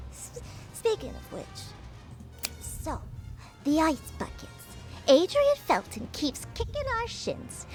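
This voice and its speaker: High, lively, brash older dame. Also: female voice, exaggerated voice. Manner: boastful, teasing, animated. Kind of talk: video game character acting.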